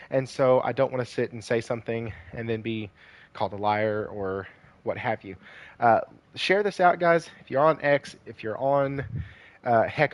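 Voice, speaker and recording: A man hosting a casual gaming livestream, hoarse and losing his voice, rambling with lots of fillers and greeting viewers by name. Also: mid-range voice, no echo.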